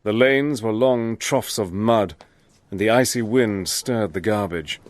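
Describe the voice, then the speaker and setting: An actor playing a resourceful, determined spy-adventurer in a radio drama, brisk and wry, also narrating in the first person. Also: male voice, fairly low pitch, natural voice. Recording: radio drama with noise behind.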